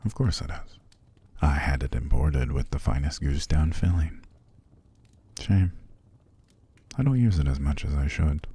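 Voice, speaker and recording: A man playing a possessive, seductive vampire master in an ASMR roleplay, calm and commanding, slow and formal, often sinking to a gravelly whisper. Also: a bass voice, exaggerated voice. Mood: commanding, smooth. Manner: slow, formal, soothing but controlling.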